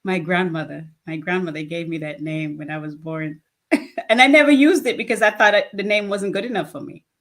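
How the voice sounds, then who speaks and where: A woman's voice: fairly low pitch, fairly quick, a bit bright. A woman in her fifties answering interview questions conversationally, in a plain-spoken way with short emphatic statements. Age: 50s. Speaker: guest, children's charity founder.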